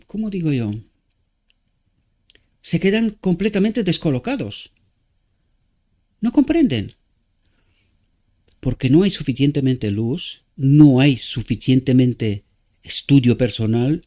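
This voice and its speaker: Romanian man preaching with lively pitch. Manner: halting, searching for words, frequent rhetorical "¿verdad?".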